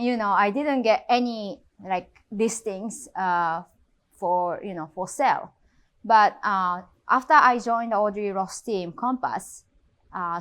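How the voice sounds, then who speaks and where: A woman in her thirties from Japan, speaking English with a Japanese accent as a real estate agent in an interview, pausing often and filling with "you know" and "uh.". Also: mid-range voice, fairly bright tone. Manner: halting non-native English with frequent fillers. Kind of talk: interview conversation.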